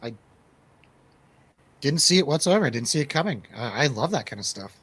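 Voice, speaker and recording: Male radio host for loose movie chat, mid-pitched, colloquial and jokey, easy to agree. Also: expressive, brisk, fairly bright tone, breathy edge. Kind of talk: casual movie review chat.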